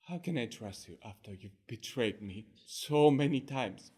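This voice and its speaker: A man voicing a fishmonger's wife in a one-performer comic tale, scolding her drunken husband in a sharp, exasperated manner. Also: medium pitch, expressive, unhurried, slightly rough.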